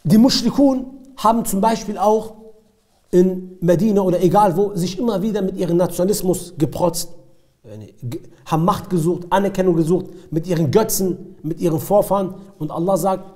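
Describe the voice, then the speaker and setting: A man's voice: high voice, normal speed, dark tone. A man preaching an Islamic lecture, speaking emphatically, repeating key phrases and asking rhetorical questions. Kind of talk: religious lecture with recited Arabic verses and retold stories.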